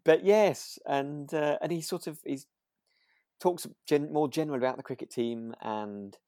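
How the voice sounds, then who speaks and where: A man co-hosting a podcast in relaxed, casual chat, feeling his way through thoughts with repeats and rephrasings. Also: fairly high pitch, moderate pace, no room sound, studio quality. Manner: hesitant, repeats and rephrases himself. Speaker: podcast co-host. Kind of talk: relaxed casual chat about a radio drama.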